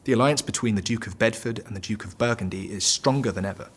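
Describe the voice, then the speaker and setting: A man's voice: on the low side, fast talker, husky. An actor playing a frustrated medieval French king in an audio drama, formal, imperious and bitter. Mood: weary, decisive. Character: frustrated, indignant young French king in the fifteenth century.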